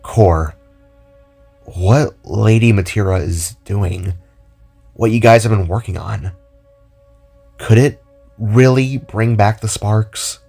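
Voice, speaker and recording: A man's voice: lowish voice, takes it slowly, creaky, pauses often, mellow, husky, soft background music, heavily acted. A man voicing a playful younger brother who talks in a casual, teasing way. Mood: eager.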